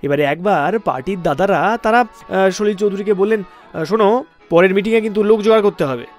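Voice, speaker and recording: A man hosting a music radio show, telling anecdotes in a dramatic, emphatic style with deliberate repetition. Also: high-pitched.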